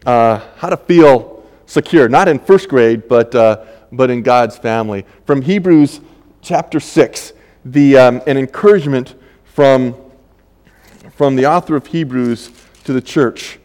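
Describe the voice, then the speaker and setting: An older man preaching a sermon as pastor, conversational and halting, with many fillers and restarts. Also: medium pitch, darkish tone, long reverb tail.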